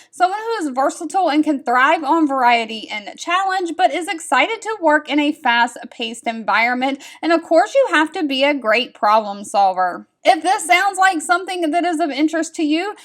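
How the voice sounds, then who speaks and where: High-voiced woman vlog host. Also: expressive, normal speed, few pauses. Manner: friendly, direct, repeats advice. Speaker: host sharing job leads. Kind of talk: casual scripted-feeling vlog talk to viewers.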